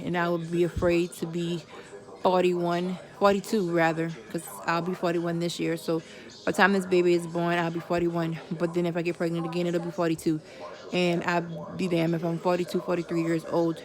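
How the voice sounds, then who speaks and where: Woman, 40s, low flat voice, chatty vlog update, crowd noise behind. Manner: chatty, candid, with religious asides. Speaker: vlogger. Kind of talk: casual personal update to viewers.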